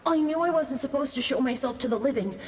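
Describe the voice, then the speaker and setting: An actor voicing a timid, gentle boy ghost who got lost, speaking shyly and hesitantly. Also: extremely high pitch, fast talker, slight background noise. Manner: shy, hesitant, soft-spoken. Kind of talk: video game voice acting.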